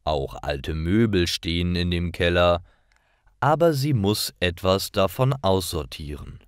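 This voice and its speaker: A man reading scripted stories aloud for language learners in a careful, deliberate manner. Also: low voice, animated, clear, resonant tone, no echo, studio quality.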